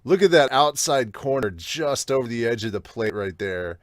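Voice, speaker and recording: A man hosting a podcast, chatting casually and excitably through a manga read-along, with some swearing. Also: medium pitch, moderate pace. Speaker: podcast host.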